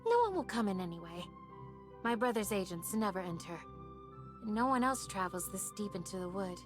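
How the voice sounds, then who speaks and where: A young woman playing a gentle, dreamy exiled princess in a visual novel, with proper, old-fashioned diction. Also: mid-range voice, very animated, moderate pace, music in the background, lightly acted. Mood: reassuring herself. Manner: genteel, old-fashioned diction, talking to herself.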